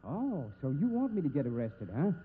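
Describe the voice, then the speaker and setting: A hot-tempered American cowboy blusters through a thin, phone-like vintage radio recording with light hiss. His folksy talk swings widely in pitch, like a cornered husband in a 1950s melodrama. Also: very animated, a little fast. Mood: defiant, defensive.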